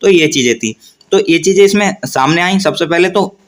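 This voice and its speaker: A man's voice: on the high side, lively intonation, moderate pace. A man hosting an informal explainer for viewers, reading out notices and often restating his points. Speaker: host of an exam news channel.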